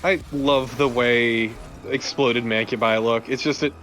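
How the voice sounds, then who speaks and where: A man's voice: medium pitch, steady tone, measured pace, a bit bright, faint music behind. A man bantering casually and wryly as co-commentator on a video game. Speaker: let's play co-commentator. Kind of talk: casual banter over gameplay.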